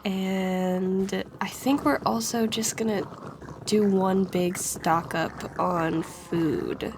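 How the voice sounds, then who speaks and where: A woman with a stuffy, congested voice from a cold, chatting casually through her gameplay and laughing softly between thoughts. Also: medium pitch, steady tone, very slow, noisy background. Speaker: let's play host.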